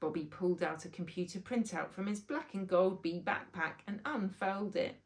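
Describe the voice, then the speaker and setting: A woman narrating a children's book aloud in an expressive storytelling style, as its author. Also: mid-range voice, moderate pace.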